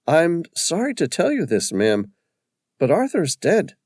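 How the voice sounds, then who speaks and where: A man's voice: fairly high pitch, huge pitch swings, a little slow, darkish tone, no echo, clean studio sound, voice pushed up, exaggerated voice. A male narrator voicing a wry, practical woman paramedic in a storybook reading. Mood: professional.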